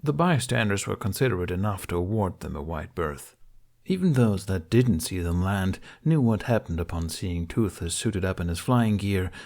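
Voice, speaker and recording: A man reading fiction as an audiobook narrator, with expressive, dramatic storytelling. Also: low-pitched, normal speed, vocal fry.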